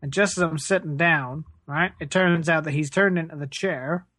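Someone plays a plaintive space plumber in an improvised comedy interview. The voice is medium in pitch and recorded close and dry, rambling hesitantly through absurd complaints with earnest deadpan. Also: moderate pace, no room sound. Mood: aggrieved. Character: hard-done-by, whiny working-class space plumber, earnestly absurd.

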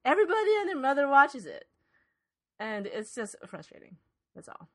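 A woman's voice: high voice, big pitch swings, moderate pace, a bit bright. Emphatic woman in casual debate. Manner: emphatic, repeats words for stress. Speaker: podcast co-host.

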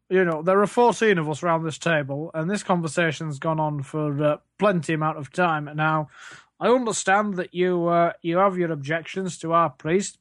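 A man's voice: a little high, no echo, studio quality, close to an everyday voice. Man as a pompous British alderman. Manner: pompous, halting, long-winded. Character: blustering, pompous old-school alderman, council head. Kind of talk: improvised comedy sketch.

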